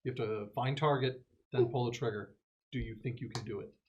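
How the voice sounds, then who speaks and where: A man's voice: medium pitch, fairly even intonation, brisk, dull audio, a little hiss or hum. A man chatting casually and speaking in play as a player in a tabletop game.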